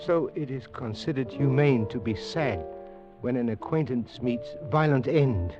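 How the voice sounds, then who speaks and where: A suave police inspector played by a man in vintage radio drama, speaking in a medium-pitched voice. He is formal and needlingly polite, on a dull old recording with music clearly behind him. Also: lively intonation, lightly acted. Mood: smooth, needling. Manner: formal, measured, insinuating. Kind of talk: 1940s radio drama acting.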